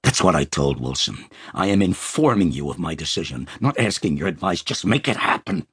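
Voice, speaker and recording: An irascible old magnate, acted by a male audiobook reader, husky and rough-edged, with a blustering, imperious manner. His delivery swings widely in pitch, in a dry, close recording. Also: sing-song, fairly quick, no room sound, full character voice. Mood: indignant. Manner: blustering, indignant. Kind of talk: audiobook character acting.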